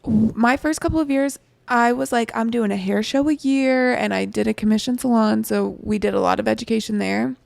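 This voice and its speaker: A woman's voice: fairly high pitch, brisk, creaky, hardly any pauses, darkish tone, dry recording. A young woman co-hosting a casual podcast, sounding congested, with easygoing back-and-forth talk. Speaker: podcast co-host. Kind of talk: casual chat.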